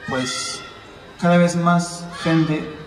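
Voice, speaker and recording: A man's voice: fairly high pitch, steady tone, drawn-out words. A man from Italy giving an informal public talk outdoors, explaining ideas and posing rhetorical questions. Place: outdoors at a building entrance on a city square. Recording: roomy, distant, noisy, church bells.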